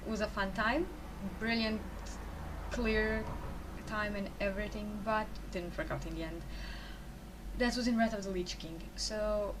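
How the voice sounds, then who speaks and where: A woman's voice: mid-range voice, fairly even intonation, measured pace, distant mic, noisy background. Croatian woman, chatty and rambling. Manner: rambling, self-correcting, chatty. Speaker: esports caster, interview guest. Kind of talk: casual answers to interview questions.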